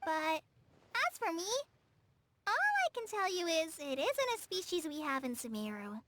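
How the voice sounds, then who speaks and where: A woman voicing an earnest, excitable young girl in a video game. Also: extremely high pitch, a little slow, a little hiss or hum, exaggerated voice. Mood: earnest, wistful. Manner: excitable, earnest, wide-eyed. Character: cheerful young girl forest ranger apprentice from afar. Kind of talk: scripted game voice acting.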